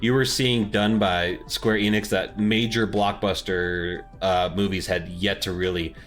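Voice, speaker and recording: A man's voice: fairly low pitch, steady tone, a little slow, a bit bright, soft background music. A man co-hosting a podcast, talking informally and with strong opinions about movies and games in casual chat. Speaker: podcast co-host.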